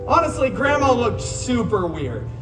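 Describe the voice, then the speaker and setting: An actor playing an irreverent, wisecracking masked antihero who tells a children's story live with theatrical delivery and sarcastic asides. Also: male voice, very high-pitched, sing-song, unhurried, exaggerated voice. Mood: wry, theatrical. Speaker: costumed theme park character storyteller. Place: outdoor theme park courtyard. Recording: distant outdoor sound, echo, loud music, crowd.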